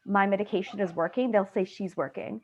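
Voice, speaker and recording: A woman's voice: mid-range voice, steady tone, brisk, darkish tone. Young woman, student panelist, chatty, filler-heavy, muffled video call audio. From Canada. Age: young adult. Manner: chatty, many "like" fillers, quotes people. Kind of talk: casual explanatory answer.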